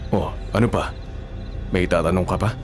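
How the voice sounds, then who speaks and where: Gentle secretive father, middle-aged, Filipino accent, low voice, radio drama, music underneath. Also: normal speed. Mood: gentle, fatherly. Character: secretive aswang father, gentle to his daughter. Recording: music bed under the dialogue.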